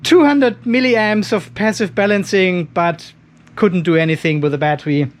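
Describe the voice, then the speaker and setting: A middle-aged man with a notably high voice talks through a practical job in a garage workshop. He is informal and chatty, thinking aloud as he demonstrates. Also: moderate pace. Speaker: host of a DIY off-grid battery channel. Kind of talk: casual hands-on demonstration talk.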